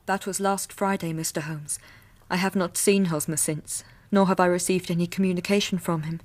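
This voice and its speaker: An anxious, devoted young Victorian lady played by an actress in a period radio drama. She speaks with an English accent in a rather low voice, earnest, polite and full of feeling. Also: steady tone, moderate pace, a little mellow, close to an everyday voice. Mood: earnest recounting.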